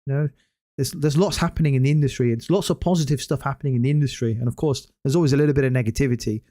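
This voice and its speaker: A man hosting a casual solo gaming podcast, hoarse after hours of talking and rambling with many hesitant restarts. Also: medium pitch, fairly even intonation, very fast, mellow. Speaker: podcast host.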